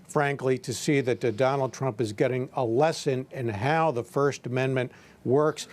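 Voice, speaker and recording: A man with a medium-pitched voice comments as a political correspondent on a news panel. He makes his points emphatically, in unscripted speech. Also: darkish tone.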